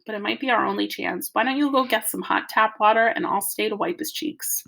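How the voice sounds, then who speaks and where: A woman's voice: a little high, fairly quick, gravelly, fairly bright tone, low-quality recording. A woman voicing a teasing, wisecracking father in a children's story, with a jokey, mocking manner. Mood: exasperated, amused.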